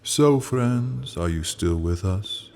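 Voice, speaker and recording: A man's voice: on the low side, expressive, creaky, crowd noise, heavily acted. A man playing a sly, theatrical narrator in an old-time radio mystery. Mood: sly, ominous. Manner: slow, theatrical.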